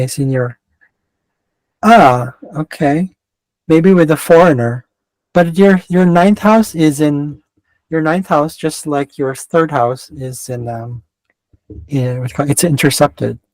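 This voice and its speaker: A man's voice: on the high side, slow, no echo, low-quality recording. A man with a mixed Canadian and West Coast American accent giving a casual astrology chart reading, rambling and often interrupting himself. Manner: rambling, self-interrupting, digressive.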